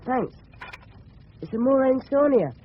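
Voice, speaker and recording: Polite English teenage boy, pitch swinging widely. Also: lively intonation, unhurried. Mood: keen, persistent. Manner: polite, boyish, keen. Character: polite, persistent teenage schoolboy with breaking voice. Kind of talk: 1970s radio drama dialogue. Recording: muffled, faintly hissy old radio broadcast.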